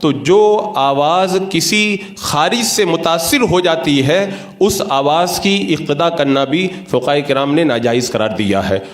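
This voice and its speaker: Male cleric, slightly higher-pitched, formal and didactic religious lecturer, recorded with clear room echo. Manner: didactic, methodical, formal Urdu-laden diction. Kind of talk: religious lecture, explanatory sermon. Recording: roomy, echoing sound.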